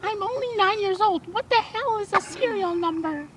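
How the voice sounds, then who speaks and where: A man voicing a naive, cheeky nine-year-old girl on a prank phone call, halting and childish. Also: very high-pitched, a bit bright. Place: outdoors at night. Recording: faint outdoor background noise.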